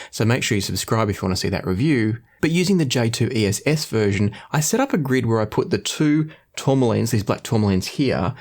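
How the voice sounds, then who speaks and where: A man hosting an audio review, explaining things in a measured, conversational way. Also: mid-range voice, darkish tone. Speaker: host of an audio review show. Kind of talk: scripted-sounding product review to camera.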